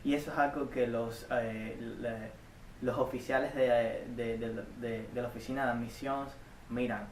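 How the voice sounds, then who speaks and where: A young man from Cuba, a community college student giving earnest, halting interview answers full of restarts and repeated words. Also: medium pitch, fairly even intonation, a little hiss or hum. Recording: echoey room, mic at a distance.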